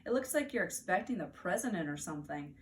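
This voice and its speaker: A woman's voice: fairly low pitch, lively intonation, fast, close to an everyday voice. A woman voicing a wry, put-upon fourth-grade boy in a children's story, with a lifted, complaining delivery. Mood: cheerful. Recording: distant mic, faint background noise.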